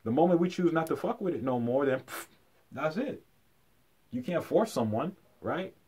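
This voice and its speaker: A man's voice: on the high side, animated, moderate pace, a little mellow, slightly rough. Emphatic man lecturing informally. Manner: emphatic, repeats key phrases for effect; colloquial, punctuated with rhetorical questions.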